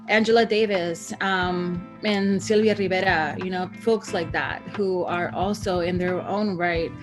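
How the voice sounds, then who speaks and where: A woman from El Salvador speaks about her work as a street artist. Her voice is low and earnest, broken up with ums. Guitar music plays clearly beneath her. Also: fairly even intonation, measured pace. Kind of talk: interview talk.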